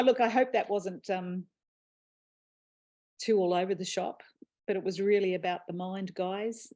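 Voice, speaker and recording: A woman's voice: mid-range voice, darkish tone. A woman hosting a casual, reflective conversation, talking earnestly and laughing easily. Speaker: host and author. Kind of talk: casual chat.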